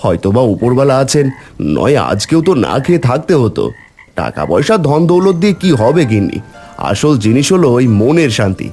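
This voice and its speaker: Casting: man as weary, tender-hearted cartoon village dad, very lively melody in a middle register. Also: medium pitch, brisk, soft background music. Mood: contented. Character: poor, kindly village fisherman father, weary yet gentle. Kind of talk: animated fairy-tale cartoon dialogue.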